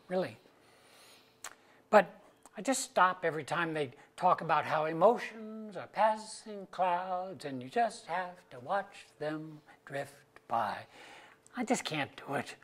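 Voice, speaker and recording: An actor as a husky, slow-talking anxious older husband. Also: male voice, sits high. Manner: halting, deliberate, dryly self-mocking delivery. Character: anxious, wry, amorous sixty-ish husband. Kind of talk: staged play reading.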